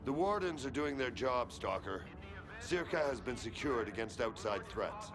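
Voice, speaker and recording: An actor playing a gruff, short-tempered colonel in a video game, barking orders with profane sarcasm. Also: male voice, medium pitch, lively intonation, normal speed, over music, lightly acted. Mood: commanding, sardonic. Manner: brusque, commanding, profane, sarcastic.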